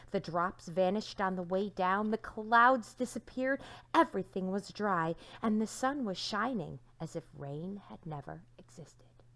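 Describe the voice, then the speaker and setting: A woman teacher reading a storybook aloud to young children, with dramatic emphasis and hushed endings to her phrases. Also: fairly low pitch.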